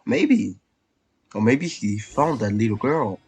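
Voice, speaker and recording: A man's voice: mid-range voice, animated, slow pace, slight background noise. A man commenting casually on a video game as he plays, reacting aloud and talking back to the characters. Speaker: let's play gamer.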